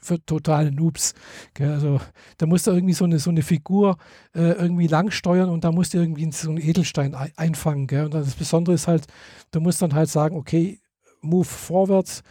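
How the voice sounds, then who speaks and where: A man's voice: a little high, steady tone, brisk, mellow, no room sound, studio quality. A man, chatting casually and hesitantly, with many fillers and restarts. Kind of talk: casual chat.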